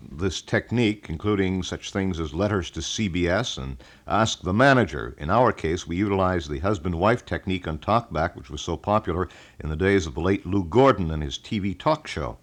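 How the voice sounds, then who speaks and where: Very deep-voiced formal American radio man. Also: animated, moderate pace, few pauses. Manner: formal, wordy, old-fashioned announcer phrasing. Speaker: radio talk show host. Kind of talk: live local radio broadcast, formal announcing and reading letters. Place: radio studio.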